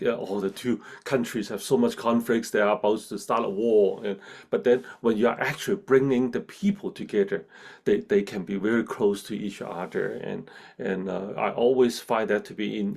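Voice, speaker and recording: A middle-aged man from Hong Kong with a Chinese accent, a guest in a casual interview who talks in a halting way with many restarts and fillers. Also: fairly low pitch, creaky, a little mellow, breathy edge. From China, grew up in Hong Kong. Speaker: guest, professor. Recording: video call.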